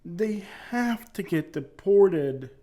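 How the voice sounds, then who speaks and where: Male host, fairly high voice, blunt emphatic commentary, hammers points home. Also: animated, takes it slowly, dark tone. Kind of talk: opinionated commentary on arrest records.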